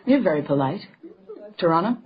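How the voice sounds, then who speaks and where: A woman's voice: lowish voice, animated, a little fast, lo-fi sound, lightly acted. A woman playing a confident young newspaper editor in a period radio drama, forthright and wry, with teasing banter. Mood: brisk, teasing.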